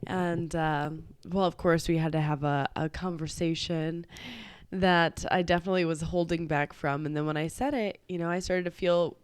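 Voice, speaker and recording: A woman hosts a confessional podcast from her room at home, her voice nasal and stuffed up from a cold. She pitches her voice fairly low, keeps it smooth and clear, and the sound is dry and close. She talks hesitantly and nervously, with lots of fillers and restarts. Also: steady tone, normal speed, dry recording. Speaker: podcast host. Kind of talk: casual confessional podcast talk.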